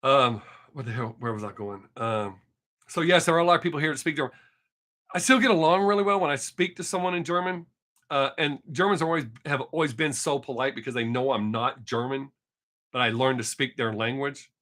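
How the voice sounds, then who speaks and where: Texan guy in his 50s rambling on his stream, cusses a lot, bright voice. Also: medium pitch, a little fast. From Texas. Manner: rambling, profane, chatty with viewers. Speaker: live streamer. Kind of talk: casual live stream chat. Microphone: webcam mic.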